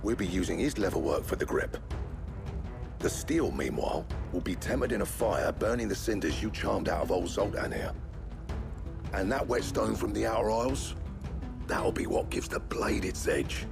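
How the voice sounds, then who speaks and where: An actor with a British accent playing a hearty, plain-spoken, good-humoured blacksmith. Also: male voice, fairly low pitch, expressive, moderate pace, gravelly. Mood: friendly, eager. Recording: music behind the voice.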